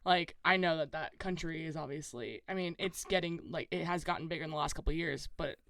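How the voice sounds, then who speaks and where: A woman's voice: low voice, fast talker, creaky, a bit bright. A woman in her twenties from Miami, co-hosting a podcast, chatting in a bubbly way with lots of "like.". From Miami, United States. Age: 20s. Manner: bubbly, heavy use of "like". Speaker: podcast co-host. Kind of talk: casual chat.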